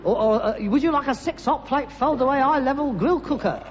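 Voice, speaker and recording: A man's voice: very high-pitched, fairly quick. An actor playing an indignant, sarcastic grown-up son, a working-class rag-and-bone man with a London Cockney accent and mock-pompous turns, in a vintage British sitcom. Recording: muffled, hissy old sitcom recording, echoey hall.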